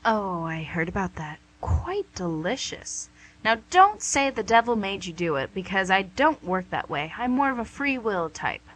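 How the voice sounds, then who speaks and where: A woman's voice: mid-range voice, big pitch swings, normal speed, fairly bright tone, poor audio. A woman playing a smug, coaxing female devil in a comic radio play, with a theatrical, glib delivery. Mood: smug, persuasive. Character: smug, wheedling, image-conscious female devil called Lucy.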